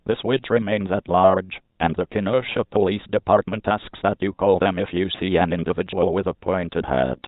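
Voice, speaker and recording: A man's synthetic-sounding voice reads a scripted emergency alert in a formal, flat manner over narrow, phone-like audio. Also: low voice, normal speed, few pauses, dry recording.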